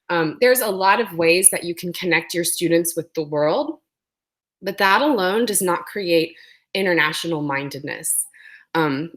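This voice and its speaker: A woman's voice: fairly low pitch, a bit bright. A woman presenting an educational webinar, speaking with a sore throat and explaining things with frequent ums.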